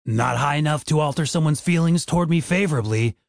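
A man's voice: fairly high pitch, fairly quick, vocal fry, dry recording, clean studio sound. A man voicing an anxious, exasperated male adventurer in a fantasy audiobook, scolding and pleading in an indignant tone.

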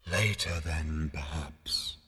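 A man voicing a courteous, wistful disembodied spirit with a quiet, deep, ethereal murmur, speaking slowly and formally, as in an audiobook. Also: breathy edge, long reverb tail, exaggerated voice. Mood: quiet, solemn. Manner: slow, politely formal, soothing.

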